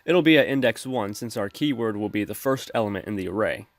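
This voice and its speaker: A man calmly explaining code step by step as the host of a screen-recorded programming tutorial. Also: lowish voice, a little fast, dry recording. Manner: steady explanatory, addresses viewers as folks.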